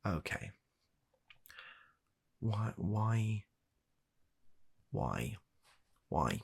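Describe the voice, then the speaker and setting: A British man playing a weary, reluctant, baffled doctor in a comedy ASMR roleplay, talking softly in a halting, deadpan, exasperated way. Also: lowish voice, gravelly, slightly rough, exaggerated voice. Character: weary, reluctant, baffled male doctor humouring an absurd patient.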